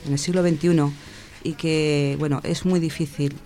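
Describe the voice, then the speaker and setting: A man speaking off the cuff on a radio show, halting as he searches for words. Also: a little high, steady tone, warm tone. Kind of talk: unscripted personal talk on radio.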